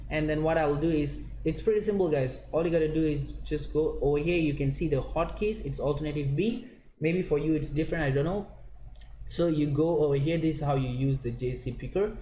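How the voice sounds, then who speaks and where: A young man from Malaysia walks viewers through a computer screen step by step, his pitch sitting a touch high for a man. The sound is roomy and echoey, with a faint hiss underneath. He talks casually and loops back over his own phrases as he clicks. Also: a little mellow. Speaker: online marketing tutor. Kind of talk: screen-share tutorial walkthrough.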